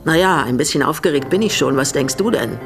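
A woman's voice: low-pitched, animated, fairly quick, soft background music. An actress playing a resolute, bitter older woman in a German radio drama, speaking in a composed, pointed way. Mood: nervous, determined. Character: resolute, bitter older widow receiving guests.

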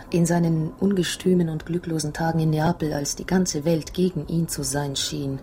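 An actress in a radio drama playing a young Italian wife who tells her past in a halting, dramatic, emotional way. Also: on the low side, darkish tone. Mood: sorrowful.

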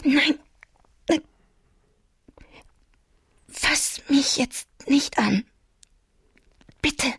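Wounded secretary, whispery, creaky, slow, close dry sound, radio play. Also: female voice, sits high, fairly bright tone, no echo, heavily acted. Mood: shaken, hurt. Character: loyal, wounded female secretary of a detective. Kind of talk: German radio crime drama acting.

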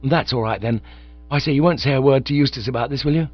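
A man voicing an excitable young gentleman who talks in a breezy, hurried, chummy way. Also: mid-range voice, no room sound, faint music behind, pitched above the performer's own voice, natural voice. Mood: eager. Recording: slightly dull lo-fi recording.

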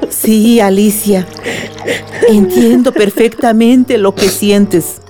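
A woman's voice: fairly high pitch, lively intonation, slow pace, over music. An actress in a radio drama playing a tender, motherly village widow who soothes and consoles. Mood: compassionate.

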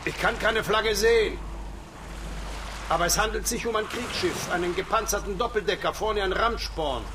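A man's voice: high-pitched, normal speed, close to an everyday voice. An actor in a radio play voicing a practical, defiant seaman who talks in a terse, matter-of-fact way. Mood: alert, resolute. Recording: distant, noisy ship ambience.

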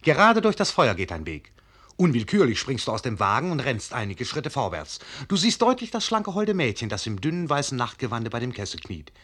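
An actor playing the narrator of a radio drama, addressing the listener in measured, literary, suspenseful storytelling. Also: male voice, mid-range voice, wildly swooping pitch, a bit bright, breathy edge, exaggerated voice.

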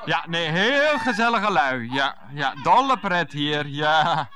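A man's voice: fairly high pitch, very animated, slow, a crowd in the background. An actor playing an evasive, put-upon grown son who stammers and grumbles to his mother on the phone in a 1990s radio comedy. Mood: flustered, placating.

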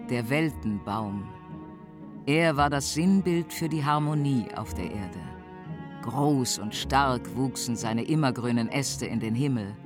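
Earth mother narrator, slow measured storytelling, solemn, fantasy radio play, clear music bed. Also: low voice. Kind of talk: scripted storytelling narration for German radio drama. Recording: studio narration over music.

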